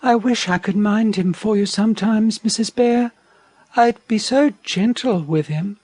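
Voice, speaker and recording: A man voicing a gentle female wallaby in a storybook, soft, sweet and pleading. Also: sits high, a little slow, no room sound, pitched above the performer's own voice. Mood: eager, tender.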